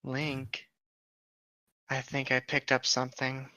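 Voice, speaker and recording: A man's voice: mid-range voice, monotone, slow, pushed and bright, no echo. A man casually reading a story aloud as a co-host, voicing the dialogue as he goes. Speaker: co-host of a comedic fan fiction reading show.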